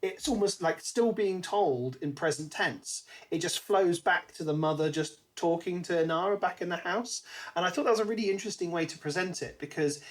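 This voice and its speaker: A British man co-hosting a podcast, discussing a book casually in an animated storytelling way. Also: sits high, a little fast. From Britain. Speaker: podcast co-host.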